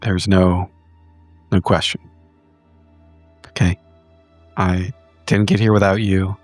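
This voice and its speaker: A man as a gentle, low-voiced grown daughter. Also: little pitch movement, slow, no echo, faint music behind. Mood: tender, reassuring. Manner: gentle, earnest, consoling. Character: tender, reassuring grown daughter.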